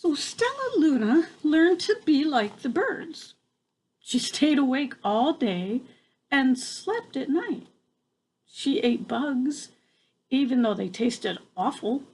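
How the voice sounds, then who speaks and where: An older woman reading a picture book aloud to a child, expressive, with casual asides and exclamations. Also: high-pitched, slow, poor audio.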